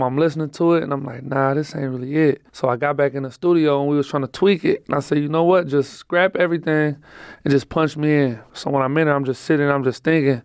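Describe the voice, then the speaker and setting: A man's voice: on the high side, clean tone, dry recording, studio quality. A man being interviewed as a rapper, telling stories in a laid-back, rambling, slangy way. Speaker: rapper guest. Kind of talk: casual interview storytelling.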